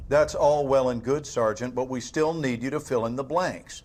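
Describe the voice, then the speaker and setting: An actor playing a calm male government interrogator who questions in a measured, dry, slightly sarcastic way. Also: medium pitch, close to an everyday voice. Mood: cool questioning. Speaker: interrogating agent.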